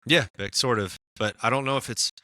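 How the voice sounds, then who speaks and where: A raspy-voiced man in casual podcast chat, talking about his music with lots of fillers and restarts. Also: medium pitch, a little fast, bright, forceful tone, dry recording. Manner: rambling, many fillers and restarts. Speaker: musician guest.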